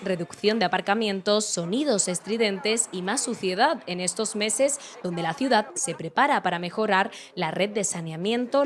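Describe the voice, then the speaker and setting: Woman reporter reading a news voice-over. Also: medium pitch.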